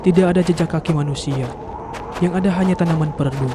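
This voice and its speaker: A man narrates a horror tale in a fairly high voice for a male. His delivery is solemn and dramatic, and there is clear background noise behind him. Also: quick pace. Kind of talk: scripted horror story narration. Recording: noisy background ambience.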